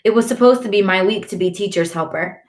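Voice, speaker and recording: A woman's voice: medium pitch, fast talker, reverberant, close to an everyday voice. A woman voicing a cheeky, eager little girl with a direct childlike manner in an audiobook.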